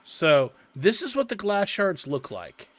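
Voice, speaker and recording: A man's voice: high voice, wildly swooping pitch, measured pace, dry recording. A young man giving casual let's-play commentary, explaining game quests in a chatty, friendly way. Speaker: gameplay commentator.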